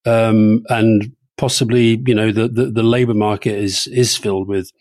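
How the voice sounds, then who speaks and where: A man's voice: on the low side, flat delivery, normal speed, a little mellow, dry recording, clean studio sound. A man co-hosting a casual political podcast, chatty and teasing, repeating words as he thinks. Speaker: podcast co-host.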